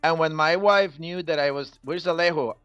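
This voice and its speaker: A man's voice: fairly high pitch, normal speed, a bit bright, clean tone, dry recording, lo-fi sound, faint music behind. A middle-aged man from Costa Rica, a native Spanish speaker with a Costa Rican accent, telling his own story casually as an interview guest. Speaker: podcast guest, Spanish teacher.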